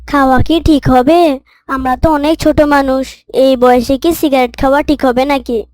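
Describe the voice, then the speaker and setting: An easily tempted young cartoon boy, acted by a woman, with a high voice and a dry sound. He sounds tentative and curious, a follower who hesitates. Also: fairly even intonation, moderate pace, a little mellow, no echo, close to an everyday voice. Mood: hesitant. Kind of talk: children's moral cartoon dialogue.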